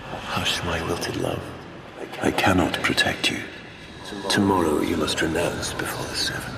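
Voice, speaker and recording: A man playing a cold, ruthless, imperious ruler in a video game, grave and haughty, with a whispery gravel in his voice. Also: low-pitched, steady tone, measured pace, a loud crowd. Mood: coldly ominous. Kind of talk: video game character acting. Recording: loud ambient noise, distant-sounding.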